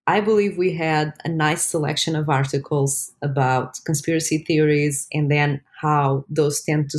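A woman with a low-pitched voice speaks English as a second language. She explains research terms in clear, measured sentences. Her tone is calm and conversational. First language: not English. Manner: explains terms plainly and precisely. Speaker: senior science writer. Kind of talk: casual podcast chat.

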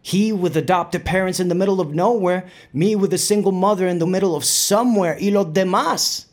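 Actor as a streetwise, higher-voiced Puerto Rican New Yorker. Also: male voice, a little fast, close to an everyday voice. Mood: bitter, reflective. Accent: Puerto Rican New York. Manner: Spanglish code-switching, streetwise, conversational storytelling. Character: Puerto Rican bandleader from El Barrio, streetwise, playful, reflective. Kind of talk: dramatic monologue in audio play.

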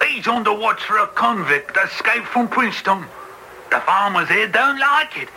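An actor playing an excitable, plain-spoken wagon driver warning his passengers in a radio drama. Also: sits high, moderate pace, exaggerated voice. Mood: urgent, ominous. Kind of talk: classic radio drama acting. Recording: noisy vintage radio recording.

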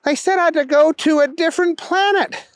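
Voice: extremely high pitch, fairly quick, no echo, voice pushed up. A storyteller voicing a bewildered, anxious man in his thirties in a comic story. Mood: relieved, earnest.

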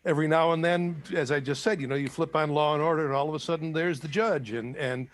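A man's voice: a little high, quick pace. A man hosting an interview, prompting his guest with friendly questions. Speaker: interview host. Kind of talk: conversational interview.

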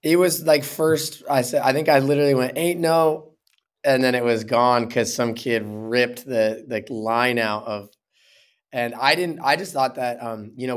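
A man, a musician guest, talking casually in an interview, often repeating words as he gathers his thoughts. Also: medium pitch.

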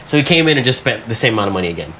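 A man's voice: fairly low pitch, sing-song, racing, pushed and bright, slight background noise. A man telling stories in casual podcast banter, slangy and profane, often acting out what people said. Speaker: podcast co-host.

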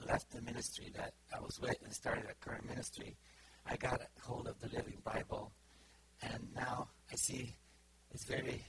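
A middle-aged Bulgarian man speaks to his church in a loose, informal sermon, his speech full of stops, fillers and restarts. He speaks English with a Bulgarian accent. The live recording carries a clear layer of background noise. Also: mid-range voice, little pitch movement. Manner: halting, many fillers and restarts. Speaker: preacher.

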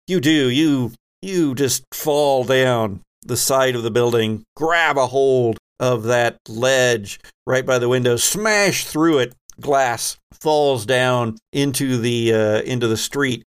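A man's voice: mid-range voice, smooth. A man acting as game master, hosting and narrating a tabletop role-playing game with dramatic scene-setting.

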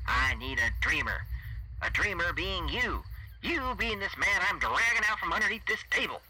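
Bright, brassy radio-voiced hustler. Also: male voice, sits high, sing-song, normal speed, a little hiss or hum, pitched above the performer's own voice, heavily acted, poor audio. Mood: persuasive. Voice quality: buzzy radio-like voice. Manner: folksy, slangy sales patter. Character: brash fast-talking bicycle thief alien with radio voice. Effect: radio filter.

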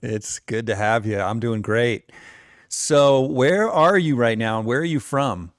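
A man in his forties hosting a podcast, mid-pitched and eager. He gushes with enthusiasm and laughs often. His voice sounds close and dry, with no room around it. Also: expressive, dry recording. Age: 40s. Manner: effusive, enthusiastic, quick to laugh. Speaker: podcast host. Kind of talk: podcast interview.

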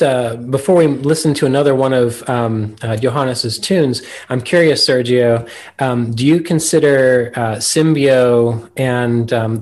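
Guy hosting a podcast, average pitch, careful halting way of asking stuff. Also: a little mellow. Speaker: podcast host. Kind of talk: casual interview moderating.

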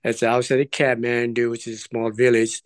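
A man, his voice smooth and resonant. He tells his story earnestly, pausing and circling back as he goes. The sound is close and dry, with no room echo. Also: medium pitch, completely flat delivery. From Nepal. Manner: earnest, digressive, hesitant phrasing. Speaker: trekking guest. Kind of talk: interview storytelling.

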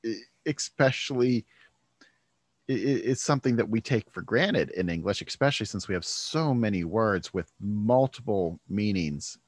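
A man's voice: on the low side, lively intonation, moderate pace, slightly rough, no echo. A man hosting a podcast, chatting casually and thinking aloud, often starting sentences over. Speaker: podcast host. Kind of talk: casual conversation.

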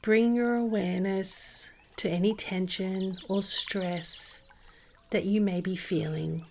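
A woman narrating a guided meditation in a soothing, gentle and unhurried manner. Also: mid-range voice, fairly even intonation, gravelly, slight background noise. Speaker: meditation podcast host and guide. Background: music behind the voice.

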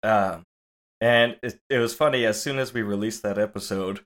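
Male podcast co-host, low voice, tired, rambling and playful. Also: normal speed, fairly bright tone. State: low on sleep. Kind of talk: casual movie podcast chat.

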